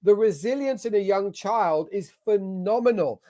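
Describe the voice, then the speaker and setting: An English-accented man talks through a screen-shared masterclass, sounding motivational and emphatic. His voice sits on the higher side for a man, and he drives his points home like a business coach rallying his audience. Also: normal speed, warm tone. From England. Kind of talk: online masterclass presentation. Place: at a computer sharing his screen.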